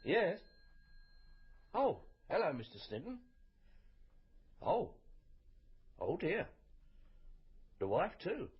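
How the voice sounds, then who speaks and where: A man's voice: high voice, wildly swooping pitch, drawn-out words, long pauses, slightly rough, full character voice. Obliging, chatty male baker. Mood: concerned, gossipy. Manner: patient, homely, colloquial. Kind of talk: naturalistic radio drama acting. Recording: dull lo-fi radio recording.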